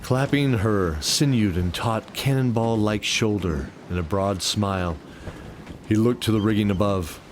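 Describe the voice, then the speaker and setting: Low-voiced man narrating a fantasy adventure. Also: a little slow, a little hiss or hum. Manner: dramatic storytelling. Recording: music bed under narration. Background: music.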